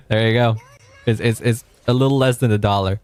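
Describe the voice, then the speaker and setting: A man from Argentina streaming casually, rambling, correcting himself and chuckling as he reads chat, with a deep voice. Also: a little mellow, smooth.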